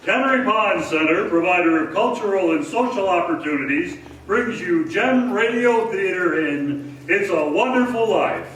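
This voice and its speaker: A man's voice: high-pitched, expressive, moderate pace, hardly any pauses, a bit bright. A man playing an upbeat, theatrical 1940s radio show announcer, welcoming a live audience as host. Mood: grand, earnest. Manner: theatrical, showy, addressing the audience. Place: stage of a performing arts hall. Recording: echoey hall from far off, faint noise.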